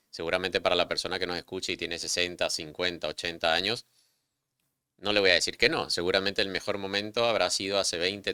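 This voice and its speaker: Deep, bright-toned male podcast host. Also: clean tone, dry recording, clean studio sound. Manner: conversational, poses questions, some restarts. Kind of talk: interview conversation.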